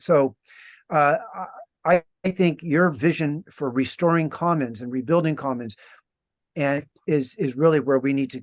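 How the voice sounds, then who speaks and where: A male interview host with a mid-range voice, speaking over a video call. He talks haltingly, stopping to restart and fill gaps. The sound is dry, with no room echo. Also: mid-range voice. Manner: halting, many fillers and restarts.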